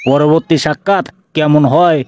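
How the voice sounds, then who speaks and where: A man voicing a blunt, sarcastic, menacing police inspector in a cartoon, with a Bangladeshi accent and an officious, deliberate manner. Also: on the high side, fairly even intonation, darkish tone, soft background music, close to an everyday voice. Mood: accusing. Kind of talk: cartoon dialogue.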